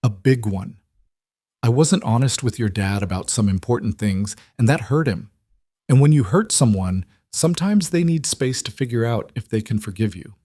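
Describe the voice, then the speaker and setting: A man's voice: mid-range voice, expressive, moderate pace, no echo, natural voice. Male narrator as a tender woman in an audiobook drama, careful and remorseful, dry close sound. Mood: tender, sorrowful. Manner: gentle, careful, earnest. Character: tender, guilt-ridden woman comforting a child.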